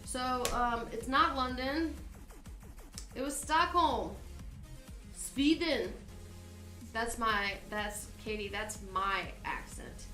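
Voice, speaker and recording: Higher-voiced woman hosting trivia, echoey. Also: slow pace, long pauses, pushed and bright. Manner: chatty, giggly, reads questions then banters. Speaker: trivia host reading questions. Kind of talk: casual live-stream trivia hosting. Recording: echoey room with faint music.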